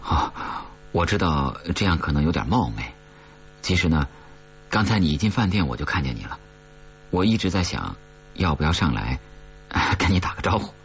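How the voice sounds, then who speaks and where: Awkward, apologetic audiobook character played by a male narrator: halting, polite, lively intonation, slightly muffled sound. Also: very deep voice, slight background noise, exaggerated voice. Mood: awkward, friendly. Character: awkward, earnest, apologetic man, an ex-rival.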